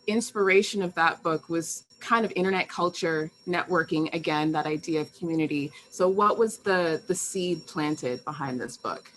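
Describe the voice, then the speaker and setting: A woman hosts a streamed interview, warmly gushing over her guest's work and filling gaps with "um". Her voice is on the low side for a woman. She frames long, searching questions. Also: normal speed, few pauses, slight background noise, rough recording. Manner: effusive and complimentary, with "um" fillers. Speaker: host and interviewer.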